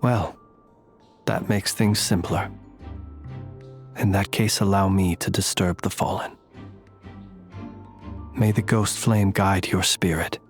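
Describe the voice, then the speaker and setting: A man playing a composed, analytical, enigmatic warrior in a video game, speaking in a measured, formal, solemn manner. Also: low voice, fairly even intonation. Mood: grave, measured. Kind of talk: video game character acting. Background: music.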